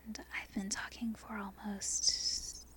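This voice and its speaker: Whispering Australian woman, halting and soft. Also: mid-range voice, flat delivery. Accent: Australian, softened. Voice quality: breathy whisper. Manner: halting, unscripted, self-correcting. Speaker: amateur ASMR video creator. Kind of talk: whispered ASMR ramble. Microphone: non-binaural microphone.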